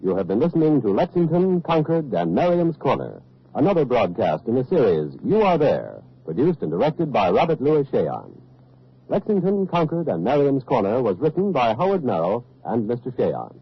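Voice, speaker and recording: Husky American male announcer, very animated pitch, formal 1940s radio delivery, muffled old recording. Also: normal speed. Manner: formal, emphatic.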